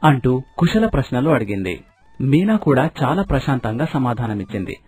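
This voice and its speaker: Male storyteller, husky mid-pitched voice, scripted narration with background music. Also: sing-song, moderate pace, darkish tone.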